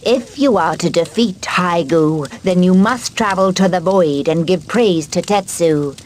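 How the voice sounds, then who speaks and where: A woman's voice: lowish voice, unhurried, darkish tone, slight background noise, natural voice. A woman playing a solemn mystic guide who proclaims prophecy in a portentous, declamatory way in a video game. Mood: solemn, prophetic. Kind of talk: video game cutscene acting.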